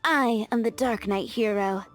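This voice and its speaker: A woman's voice: fairly high pitch, a little slow, lightly acted. An actress playing a playful, teasing woman tavern owner who jokes in a laid-back way, in game cutscene voice acting. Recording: game audio with faint music.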